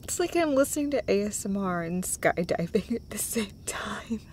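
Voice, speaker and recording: A woman performing an intimate comfort roleplay as an affectionate girlfriend, speaking tenderly and emotionally in a halting way that often drops toward a breathy whisper. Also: mid-range voice, lively intonation, a little mellow, slight background noise. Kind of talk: intimate comfort roleplay, acted as one side of a conversation.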